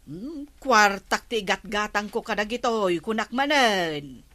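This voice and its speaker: Slow-talking vain Ilocano woman. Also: mid-range voice, animated, pushed and bright. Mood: unbothered, insistent. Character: vain barangay woman obsessed with skin-whitening products. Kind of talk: Ilocano radio comedy drama.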